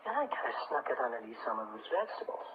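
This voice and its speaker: A man's voice: medium pitch, big pitch swings, very fast. A middle-aged American man telling anecdotes as a radio guest in a folksy, chatty, emphatic way. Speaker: radio show guest, crystal expert. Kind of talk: animated anecdotal storytelling in a radio interview. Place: live radio broadcast. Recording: muffled phone-like 1980s radio tape, hissy.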